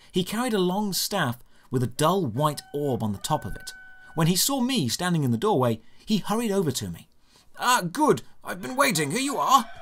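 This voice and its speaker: A man with a slightly higher-pitched voice narrates a fantasy audio drama in the first person. His delivery is deliberate and dramatic, with lively, varied intonation that rises and falls through the scripted prose.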